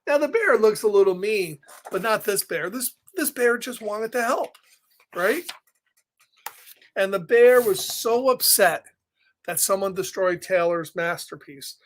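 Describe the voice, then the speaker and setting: A male storyteller with a high voice and a slow pace. He reads a picture book live to children, exclaiming over it and chatting casually with listeners between lines. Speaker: children's story reader and host.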